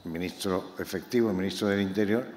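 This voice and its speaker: A novelist from Málaga, presenting his book to an audience in a talk that is impassioned and halting, with many repeated words. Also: deep voice, a little mellow. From Málaga, Spain. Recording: echoey hall, faint room noise.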